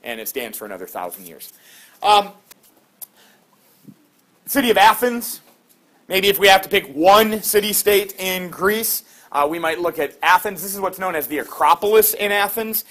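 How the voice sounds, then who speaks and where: A middle-aged man teaching a class, lively and quizzing students with quick questions. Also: high voice.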